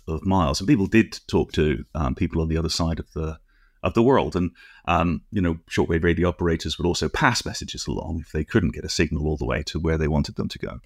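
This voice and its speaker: A man's voice: sits low, no echo, clean studio sound. A man co-hosting a podcast, explaining technical things conversationally in a nerdy, precise, digressive way. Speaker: podcast co-host.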